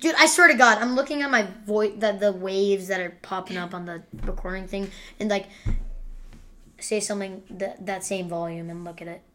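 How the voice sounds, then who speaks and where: Loud, bright-voiced woman host. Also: medium pitch, normal speed. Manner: loud, excitable, teasing. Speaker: podcast host. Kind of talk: casual sports picks chat.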